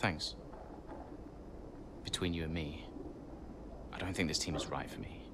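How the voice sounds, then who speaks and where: A principled racing driver in a game story, low in pitch. He sounds frustrated and earnest, with clear ambient noise around his voice. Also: fairly even intonation, a little slow. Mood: subdued, guarded. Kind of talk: video game cutscene acting.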